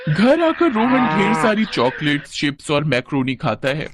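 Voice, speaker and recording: Animated, higher-pitched male storyteller. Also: normal speed, slight background noise. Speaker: narrator. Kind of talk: scripted children's story narration.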